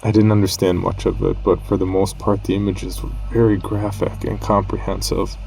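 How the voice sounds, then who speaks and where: A man with a low voice and heavy creak narrates a horror tale. His delivery is grave and measured, breaking phrases with dramatic pauses. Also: little pitch movement, a little mellow, rough recording. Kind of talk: scripted horror story narration.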